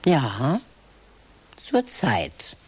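A woman's voice: a bass voice, huge pitch swings, extremely slow, breathy edge, exaggerated voice. A woman in a radio drama speaking in a dry, matter-of-fact way, later declaiming a strange incantation. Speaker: radio drama lead character.

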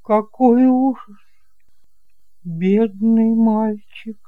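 A man's voice: high voice, very slow, no echo, narrow, tinny audio, voice pushed up. A man voicing a middle-aged widowed shopkeeper aunt, gushing and condescending, in a theatrical, exclamatory way. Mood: dramatic, indignant.

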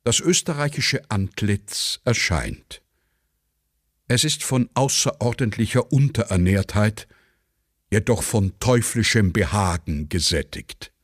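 A man giving a scripted dramatic reading as narrator, announcing stage directions tersely and deliberately. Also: lowish voice, slightly rough, no echo, studio quality.

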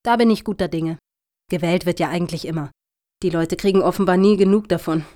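A woman's voice: fairly low pitch, a little fast, warm tone, natural voice. A woman acting in a radio satire as a composed, self-assured political campaigner with a polite, prim manner. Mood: smugly composed.

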